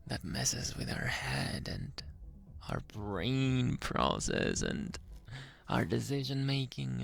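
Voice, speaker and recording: Slow-talking, flirty reformed bully played by a man. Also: mid-range voice, heavily acted. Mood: apologetic, reflective. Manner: soft, halting confession with breathy chuckles and trailing phrases. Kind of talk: intimate ASMR roleplay monologue.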